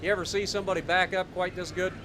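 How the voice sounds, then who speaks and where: A man's voice: high-pitched, fairly even intonation, fast talker, background noise. A man with an Ohio accent, casually commenting while he plays a game, joking and chatting to himself and his viewers. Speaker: game streamer.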